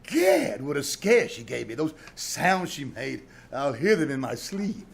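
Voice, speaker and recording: A man's voice: fairly high pitch, big pitch swings, measured pace, vocal fry, full character voice. A man playing an anxious, melodramatic husband in an old-time radio comedy, theatrical and exclamatory. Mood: relieved, muttering. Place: small-town theater stage, live performance. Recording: live stage recording with noise.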